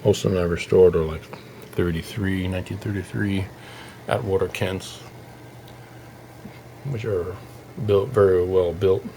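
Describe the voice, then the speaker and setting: A man giving a casual, halting walkthrough as a hobbyist showing his restoration work, thinking aloud as he goes. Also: low-pitched, steady tone, vocal fry, dark tone, slight background noise, poor audio. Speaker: hobbyist radio restorer presenting his project. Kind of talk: casual informal video walkthrough.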